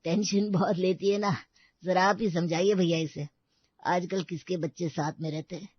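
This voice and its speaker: A woman's voice: lowish voice, fairly even intonation, moderate pace, slightly rough, dry recording, highs cut off, voice pushed down. A woman voicing a considerate, hesitant elderly uncle-in-law in a family audio drama. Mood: worried, explaining. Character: polite, considerate elderly uncle-in-law, gently self-effacing.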